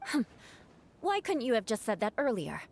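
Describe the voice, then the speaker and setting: A woman's voice: on the high side, wildly swooping pitch, normal speed, darkish tone, heavily acted. A woman playing a composed, skeptical young woman in a video game, with a questioning, slightly commanding manner. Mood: exasperated.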